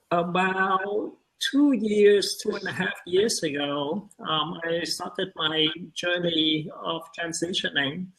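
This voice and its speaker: A transgender woman in her fifties who grew up in Vietnam, speaking slowly and hesitantly as a guest on a video call. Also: fairly low pitch, fairly bright tone, rough recording. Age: 50s. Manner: slow, hesitant, with many pauses. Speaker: guest, nonprofit board president. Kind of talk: casual video call interview.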